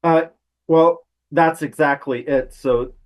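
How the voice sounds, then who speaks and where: A man speaking as a crime reporter guest, explaining trial testimony conversationally with hesitant, halting phrasing. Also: a little high, fairly even intonation.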